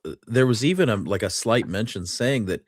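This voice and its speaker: Male co-host, low voice, very animated intonation, short casual replies, dry recording. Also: fairly quick, no room sound. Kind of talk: casual chat, brief responses.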